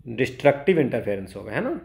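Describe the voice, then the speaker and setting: An Indian man teaching exam problems step by step in a halting tutorial style, mixing Hindi and English and often adding small check-in tags. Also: mid-range voice, sing-song, vocal fry, a little mellow.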